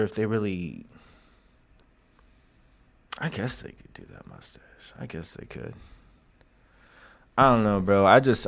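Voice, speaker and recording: Laid-back low-voiced man joking around. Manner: joking, drawling, slangy asides. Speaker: commentary host. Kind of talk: casual political commentary.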